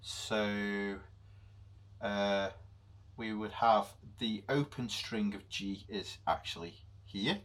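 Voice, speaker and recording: A man with a deep, low voice talks through a music theory lesson. His explanation is loose and unscripted, full of ums and pauses. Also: fairly bright tone. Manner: unscripted, halting explanation with many fillers. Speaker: music teacher.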